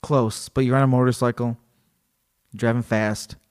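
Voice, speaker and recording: A man in casual podcast chat, reading a story aloud to his co-host and cracking jokes as he goes. His voice sits in an ordinary middle range for a man. He talks in an animated way and laughs easily. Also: mid-range voice, moderate pace. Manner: animated, joking, laughs often.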